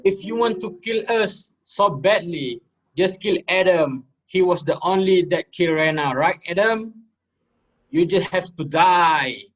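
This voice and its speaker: A man playing an agitated student in amateur reader's theater, loud and shrill, accusing with drawn-out words. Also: poor audio. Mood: desperate accusing.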